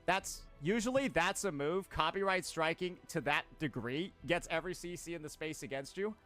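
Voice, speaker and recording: A man's voice: a little high, normal speed, over music. A man speaking on a stream in an emphatic, indignant way. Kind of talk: streamer talking on a clip.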